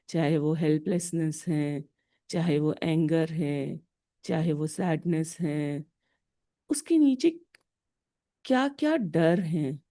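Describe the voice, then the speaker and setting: Woman, Indian accent, meditation guide, unhurried pace, lowish pitch, short deliberate phrases with pauses. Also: mellow. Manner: slow, deliberate, instructional, pausing between short phrases.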